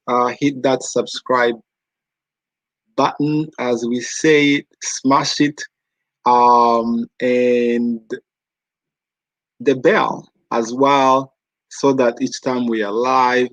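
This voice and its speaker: A man's voice: medium pitch, no echo, poor audio. Chatty man hosting an interview. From Rwanda. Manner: chatty, many fillers and restarts. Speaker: host. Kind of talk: casual online interview.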